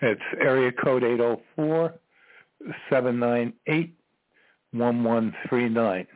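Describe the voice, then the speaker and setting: An older man in his seventies calling in to a radio show, spelling things out slowly in an earnest, grandiose way. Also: mid-range voice, dry recording. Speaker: caller. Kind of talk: call-in radio talk. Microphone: telephone. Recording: tinny phone line.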